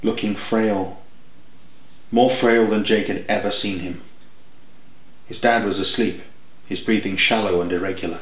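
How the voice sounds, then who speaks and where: A man's voice: fairly low pitch, fairly even intonation, unhurried, long pauses, echoey room, rough recording. A man narrating an audiobook in a scripted, dramatic storytelling style.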